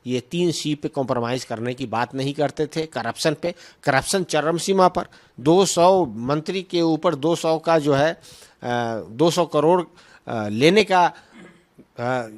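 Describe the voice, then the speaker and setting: A man with a moderately high-pitched voice speaks as a political spokesman. He answers questions argumentatively, hammering points through repeated phrasing. English terms are mixed freely into his speech. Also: measured pace.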